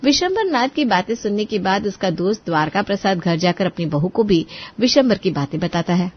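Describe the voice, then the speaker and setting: A woman's voice: mid-range voice, lively intonation, a little fast, lo-fi sound. A woman narrator reading a scripted moral tale in storytelling style.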